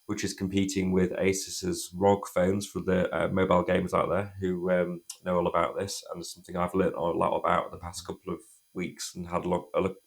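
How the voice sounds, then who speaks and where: Croaky man with a cold. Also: low voice, completely flat delivery, brisk. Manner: chatty, rambling, restarts sentences often. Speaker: tech reviewer. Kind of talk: casual phone review to camera.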